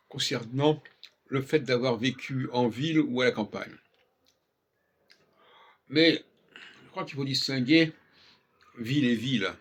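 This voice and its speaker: Husky older Frenchman musing aloud. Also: medium pitch. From France. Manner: hesitant, restarting phrases. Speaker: channel host. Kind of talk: casual spoken reflection.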